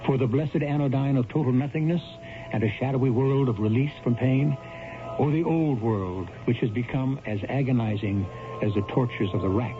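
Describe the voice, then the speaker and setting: A man performs a grave, ominous host of radio mystery tales. He narrates theatrically at a medium pitch with measured delivery, over loud music on a narrow, muffled old recording. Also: natural voice.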